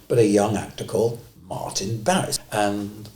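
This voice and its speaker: Man, lowish voice, animated anecdote-teller; roomy sound. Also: moderate pace. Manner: lively storyteller, acts out quotes. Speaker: interviewee, panto actor. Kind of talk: anecdotal interview.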